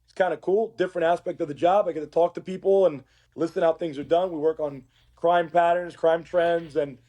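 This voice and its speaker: A man with a fairly high, raspy voice, hoarse from work, speaks as a police academy instructor on a podcast. He tells stories in a casual, conversational way. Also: fairly even intonation, a little fast, mellow. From Uruguay.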